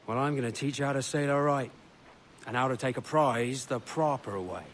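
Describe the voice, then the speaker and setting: An actor playing a seasoned pirate captain in a video game, instructive, salty and theatrical with an edge of menace. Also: male voice, mid-range voice, normal speed, lightly acted. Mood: wry, brisk. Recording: loud sea and ship noise behind.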